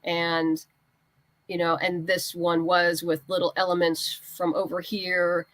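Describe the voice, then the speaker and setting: A woman's voice: lowish voice, little pitch movement, unhurried, fairly bright tone, no echo. A woman speaking as an interview guest, a writer explaining her creative work informally through anecdotes and quoted exchanges. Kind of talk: conversational interview answers, anecdotes.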